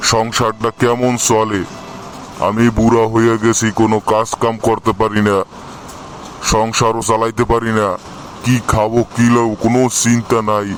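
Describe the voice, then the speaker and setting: A man's voice: on the low side, completely flat delivery, moderate pace, slight background noise, lightly acted. A man playing a weary, complaining old father in a cartoon, with a Bangladeshi accent. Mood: lamenting. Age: older. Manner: complaining, reproachful, lamenting. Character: weary, scolding old father of a poor family. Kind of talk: amateur cartoon voice acting in colloquial Bengali.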